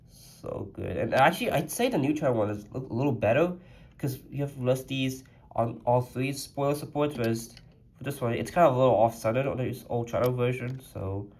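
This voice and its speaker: A man's voice: medium pitch, a little mellow. Slightly congested man chatting casually. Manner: rambling and chatty, lots of "like" fillers. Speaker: toy collector reviewing a custom die-cast car. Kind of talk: casual review vlog.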